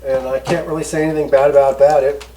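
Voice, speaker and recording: A man's voice: medium pitch, level tone, quick pace, warm tone. A man giving a casual hands-on product review as a host, talking in a folksy, rambling, easygoing way. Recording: roomy echo, distant mic, background noise.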